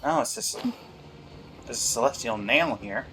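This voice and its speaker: A man's voice: mid-range voice, measured pace, sharp tone, a little hiss or hum, rough recording. A man commentating casually on a video game, musing aloud and reading bits of on-screen text. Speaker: gaming streamer reacting to a story quest. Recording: faint game music behind.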